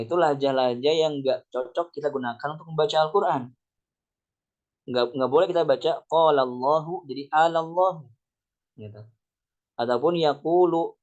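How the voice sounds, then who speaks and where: A man teaching Quran recitation. He reads a classical text aloud, then explains it line by line and asks rhetorical questions as he goes. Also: medium pitch. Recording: dull lo-fi sound.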